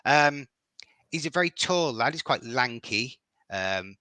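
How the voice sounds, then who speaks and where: A man's voice: mid-range voice, lively intonation, measured pace, sharp tone, clear, resonant tone, no echo. A middle-aged man from Liverpool with a Liverpool accent, chatting as a podcast guest, hesitant and often repeating words. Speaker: guest fan. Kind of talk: casual podcast chat.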